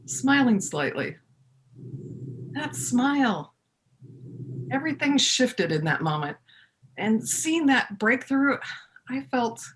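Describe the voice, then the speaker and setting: A woman reading as the reflective first-person narrator of a readers theater piece, in measured short phrases. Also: fairly high pitch, a bit bright, exaggerated voice, poor audio.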